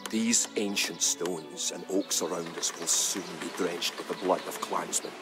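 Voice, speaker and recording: Low-voiced, grave clansman. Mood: grim. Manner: solemn, measured, dramatic. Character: grave, resolute medieval Scottish clansman recounting war. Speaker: campaign narrator. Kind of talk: dramatic scripted storytelling narration. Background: music behind the voice.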